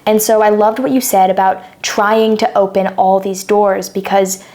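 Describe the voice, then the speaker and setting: A woman's voice: medium pitch, steady tone, normal speed, darkish tone. A woman in her twenties, co-hosting a podcast and explaining ideas in a thoughtful, casual chat. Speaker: podcast co-host.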